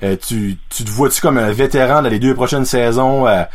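A man's voice: medium pitch, normal speed. A man with a Quebec French accent hosting a casual sports interview, chatty, with frequent fillers and laughs. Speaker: radio show host.

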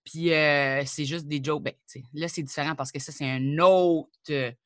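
A young woman from New Brunswick with an Acadian French accent, chatting casually as an interview guest, animated and full of quick asides. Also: sits low, no room sound, studio quality. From New Brunswick, Canada. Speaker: comedian and rapper, guest.

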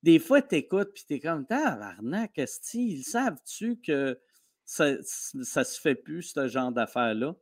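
Animated Québécois comedian man. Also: on the high side. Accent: Québécois French. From Quebec. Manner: animated storytelling, fast with restarts. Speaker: comedian guest. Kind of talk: casual podcast interview.

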